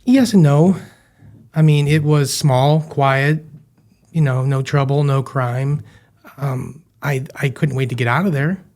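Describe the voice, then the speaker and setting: A man's voice: medium pitch, measured pace, a little mellow. Guy in his 30s from upstate new york, podcast guest, chatty storyteller, pokes fun at himself. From western New York, USA. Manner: storytelling, self-deprecating, chatty. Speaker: guest, chef and business founder. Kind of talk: casual interview chat.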